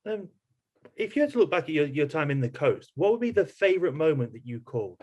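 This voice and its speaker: Fast-talking man hosting a casual interview. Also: a little high, very animated, darkish tone, muffled recording. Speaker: podcast host, interviewer.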